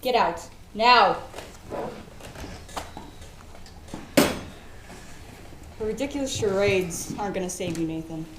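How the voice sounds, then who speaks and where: A woman's voice: medium pitch, a little fast, close to an everyday voice. A woman playing a domineering, suspicious crime boss in an amateur stage play, firing clipped, commanding questions. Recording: noisy, echoey stage recording.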